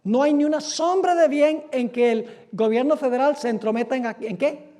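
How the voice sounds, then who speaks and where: Brief: male pastor from the US, strikingly high voice, emphatic sermon delivery with rhetorical questions. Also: warm tone. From United States. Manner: emphatic, asks rhetorical questions and answers them; reads quotations aloud slowly. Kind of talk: sermon lecture.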